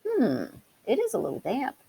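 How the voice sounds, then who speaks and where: A woman voicing a brisk, fussy, genteel male town mouse in a storybook, polite but rather huffy. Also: a little high, wildly swooping pitch, creaky, warm tone, husky, voice pushed up, full character voice. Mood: fussy unease. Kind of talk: storybook character voice. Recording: hissy background noise.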